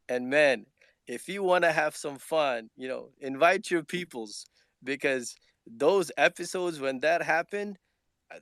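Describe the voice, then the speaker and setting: A man giving enthusiastic thanks and shout-outs in a casual online audio chat, often saying "man". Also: fairly high pitch, clear, resonant tone, no room sound, studio quality. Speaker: Twitter Spaces speaker.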